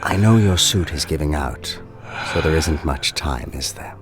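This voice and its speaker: A man playing a suave, world-weary, cynical ruler in a radio drama, speaking deliberately and condescendingly with theatrical pauses. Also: extremely low pitch, lively intonation, over music. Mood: silky, mocking.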